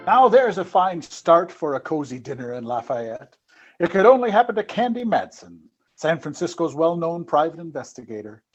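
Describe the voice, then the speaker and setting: A man's voice: fairly high pitch, very animated, normal speed, highs cut off, faint music behind. A man playing a dramatic, wry announcer introducing an old-time radio detective serial.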